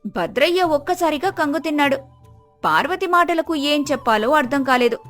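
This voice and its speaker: A woman's voice: high voice, expressive, normal speed, a bit bright, soft background music. A woman reading a scripted moral story as its narrator.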